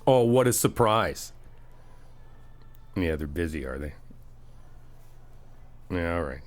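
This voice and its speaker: A man's voice: deep voice, expressive, slow pace. A man doing a friendly ASMR roleplay, chatty and teasing, reassuring the listener as he helps out. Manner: reassuring, helpful.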